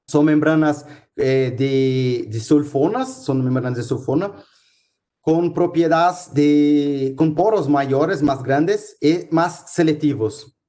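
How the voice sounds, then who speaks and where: Guy w/ giving a medical lecture, kinda high but mellow voice, lots of stops and restarts. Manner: halting, many restarts and fillers while explaining slides. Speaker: physician lecturer.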